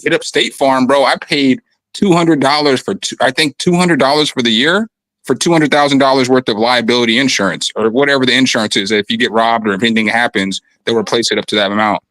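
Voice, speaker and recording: A man with a raspy voice chatting casually and loosely from his home studio, full of slang and fillers. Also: mid-range voice, quick pace, fairly bright tone, no room sound, poor audio. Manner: laid-back, slangy, rambling with fillers. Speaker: guest musician on a video call. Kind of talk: casual chat. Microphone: condenser studio mic.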